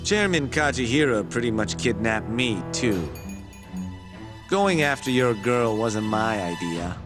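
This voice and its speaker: A man's voice: medium pitch, lively intonation, measured pace, close to an everyday voice. A male actor playing a cornered, self-serving man in a video game cutscene, defensive and full of excuses. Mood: defensive, sullen. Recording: music behind the voice. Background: background music.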